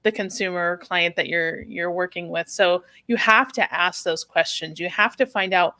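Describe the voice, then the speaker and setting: A woman's voice: fairly low pitch, steady tone, moderate pace, bright, forceful tone, no echo. A woman hosting a podcast, talking in a chatty, rambling way with many fillers. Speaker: podcast host. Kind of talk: podcast interview conversation.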